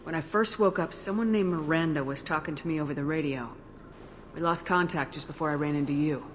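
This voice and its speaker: A woman's voice: low-pitched, a little fast, slight background noise, lightly acted. An actress playing a determined female military commander in a video game, direct and controlled. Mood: composed, probing. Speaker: video game character actor. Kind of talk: video game dialogue acting.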